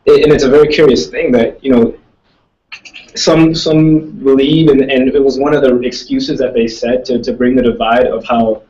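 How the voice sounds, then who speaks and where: A man's voice: medium pitch, steady tone, normal speed, mellow, far from the mic, dull audio. A young man, a student, answering audience questions in casual talk, thoughtful and rambling, with many restarts. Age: young adult. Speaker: student speaker.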